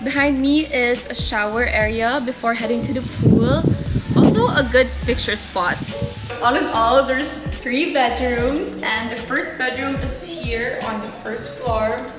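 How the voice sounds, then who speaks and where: A woman's voice: sits high, a little slow. A young woman from the Philippines giving a bubbly, chatty house tour for her vlog, recorded on a handheld camera. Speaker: vlogger. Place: villa rooms and poolside. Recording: echoey rooms with loud music behind.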